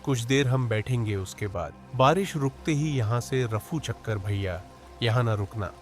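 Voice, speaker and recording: A man's voice: mid-range voice, expressive, normal speed, faint music behind, lightly acted. A man voicing a poor, hardworking young village man who speaks in rustic village speech and exclaims often, in a cartoon story. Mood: fretful.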